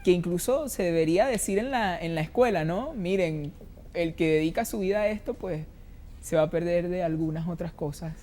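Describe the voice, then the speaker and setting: A woman hosting a conversation on film, asking questions in a measured, affirming way. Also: lowish voice, warm tone. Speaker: host, interviewer. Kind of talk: interview conversation.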